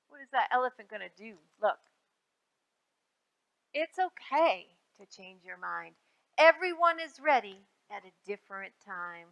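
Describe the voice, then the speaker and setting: Lady doing library storytime for little kids, high bright voice, slow and sing-songy, really stretches out the words. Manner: sing-song, exaggerated emphasis with drawn-out phrases; prompts young listeners with simple questions. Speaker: children's librarian and storytime host. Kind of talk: read-aloud storytime for young children.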